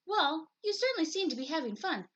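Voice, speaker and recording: A woman voicing a caring, gently concerned mother bear in a children's storybook. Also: very high voice, big pitch swings, fairly quick, lo-fi sound, pitched above the performer's own voice, exaggerated voice. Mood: interested.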